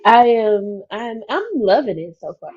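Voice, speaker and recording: A woman's voice: mid-range voice, animated, a little slow, darkish tone. A woman sharing her thoughts casually as co-host of a book discussion, recorded on dull stream audio.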